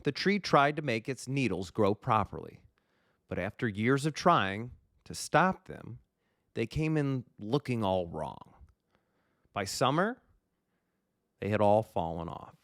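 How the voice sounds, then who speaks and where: A man reads a children's story at an unhurried pace, with very lively, swinging intonation. The recording is close and dry. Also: mid-range voice, no room sound. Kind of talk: animated storybook narration.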